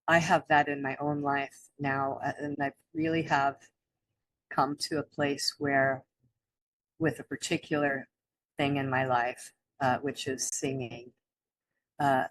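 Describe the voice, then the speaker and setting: Slow low-voiced woman, halting. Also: rough recording. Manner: halting, many restarts, soft laughs. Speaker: co-host. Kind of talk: spiritual teaching chat.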